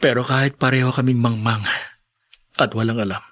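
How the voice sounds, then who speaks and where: A man's voice: mid-range voice, fairly even intonation, a little fast, fairly bright tone, lightly acted. Actor as an earnest young Filipino man. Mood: heartfelt. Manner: sincere, heartfelt. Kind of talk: Filipino radio drama acting.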